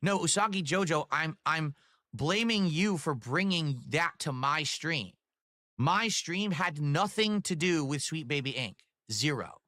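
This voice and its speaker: Bright, angry ranting man streamer. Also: a little high, expressive, unhurried, dry recording, clean studio sound. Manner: defensive, angry and profane rant. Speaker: gaming streamer. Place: home office. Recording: clip played from a live stream.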